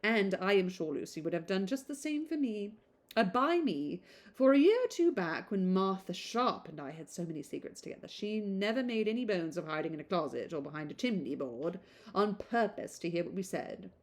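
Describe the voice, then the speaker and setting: A woman's voice: mid-range voice, lively intonation, moderate pace, natural voice. A woman voicing a gossipy, affected young woman from a period novel, chattering in exclamatory run-on sentences. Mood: gossipy, brazen. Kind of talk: novel read aloud.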